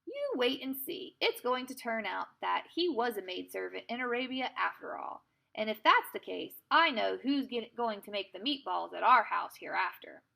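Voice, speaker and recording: A woman's voice: on the high side, very animated, normal speed, vocal fry, pushed and bright, heavily acted, low-quality recording. A woman voicing a mischievous, carefree little girl in a storybook, playful and exclamatory. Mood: argumentative, cheeky.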